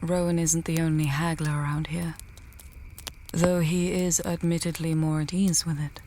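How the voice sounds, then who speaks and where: Female actor for scholarly fantasy mage: lowish voice, measured, earnest, dryly testy, noisy ambience behind. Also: flat delivery, dark tone, natural voice. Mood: thoughtful, practical. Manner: measured, thinking aloud. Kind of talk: fantasy audio drama acting.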